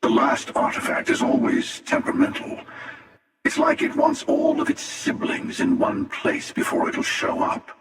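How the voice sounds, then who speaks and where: Game villain casting: a taunting, world-weary cosmic hunter, creaky and unhurried, echoing heavily. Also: male voice, extremely high pitch, huge pitch swings, heavily acted. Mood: cool, threatening. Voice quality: gravelly, creaky. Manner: slow, sardonic, taunting. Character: cynical, menacing, world-weary male interdimensional hunter. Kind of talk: video game character voice lines. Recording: cavernous reverb, distant-sounding voice.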